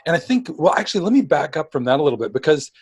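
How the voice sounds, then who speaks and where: Husky-voiced male podcast host. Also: on the high side, big pitch swings, racing, a little mellow, dry recording, clean studio sound. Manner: eager, friendly, restarts sentences. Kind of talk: scripted intro then casual interview.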